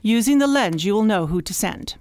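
A woman playing a wise alien mentor who talks like an exacting, gently scolding professor in a radio drama. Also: mid-range voice, lively intonation, brisk, close to an everyday voice. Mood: wise, serene. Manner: precise, schoolmistressy, gently scolding. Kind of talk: science fiction radio drama acting.

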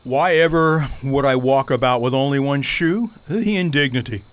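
A man's voice: mid-range voice, normal speed, no echo, natural voice. A man playing a pompous, foppish goat king in a radio play, with florid, theatrical, wordy speech. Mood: pompous, dismissive.

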